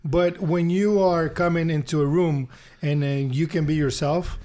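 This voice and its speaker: A man's voice: on the high side, steady tone, measured pace, warm tone. A man, a podcast guest telling his life story in an earnest, conversational way, often repeating phrases for emphasis. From Honduras. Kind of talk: casual storytelling interview.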